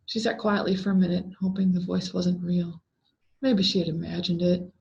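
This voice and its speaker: A woman reading a scripted horror story aloud in a deliberate, dramatic way with drawn-out words. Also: lowish voice, steady tone, gravelly, darkish tone. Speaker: story reader. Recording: dull, lo-fi sound.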